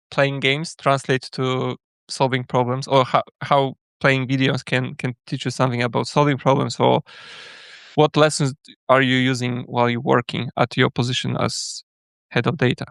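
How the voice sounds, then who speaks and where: A man's voice: mid-range voice, little pitch movement, a little slow, vocal fry, a bit bright, smooth, dry recording. A man hosting a casual live interview, introducing his guest and putting questions to him. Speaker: livestream host and interviewer.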